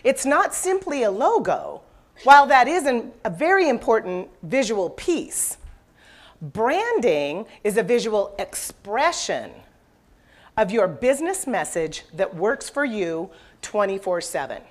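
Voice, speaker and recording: Animated American woman speaking on stage. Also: fairly high pitch, measured pace. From Denver, Colorado, United States. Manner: animated, informal, engages audience with questions. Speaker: motivational business speaker, branding expert. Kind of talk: live presentation with storytelling. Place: live event stage with an audience.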